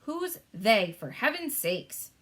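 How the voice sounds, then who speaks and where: A woman's voice: on the high side, sing-song, slow, a bit bright, exaggerated voice. A woman voicing an agitated, indignant king with an emphatic, exclaiming manner in a storybook reading.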